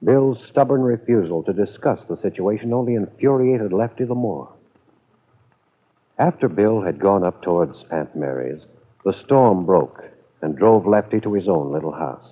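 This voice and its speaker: A man's voice: low-pitched, lively intonation, normal speed, husky. A man reading scripted narration for an old-time radio drama serial. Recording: dull, narrow vintage radio recording.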